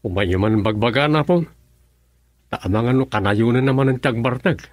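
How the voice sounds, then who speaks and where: A medium-pitched voice of a worried young grandson, played by an actor in a radio drama. He confides earnestly, recorded close and dry. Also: normal speed, no echo, close to an everyday voice. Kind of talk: Ilocano radio drama acting.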